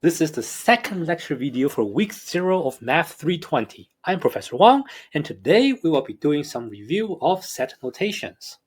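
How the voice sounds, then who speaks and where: A man's voice: on the high side, big pitch swings, slightly rough. Hong Kong-accented man giving a math lecture. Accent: Hong Kong English. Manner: careful, explanatory, measured. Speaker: math professor. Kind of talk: recorded lecture narration.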